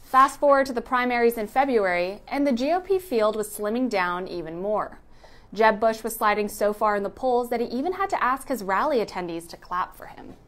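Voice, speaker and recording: A woman's voice: on the high side, lively intonation, fairly quick, a bit bright. A woman reading a scripted narration in the style of a news recap.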